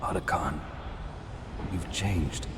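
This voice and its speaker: An actor playing a battle-hardened, self-loathing soldier in a video game, with a gravelly, brooding, anguished delivery. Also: male voice, fairly even intonation, slow pace, very noisy. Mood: subdued, resolved. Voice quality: gravelly, husky low voice. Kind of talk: dramatic video game acting. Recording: dramatic music behind the voice.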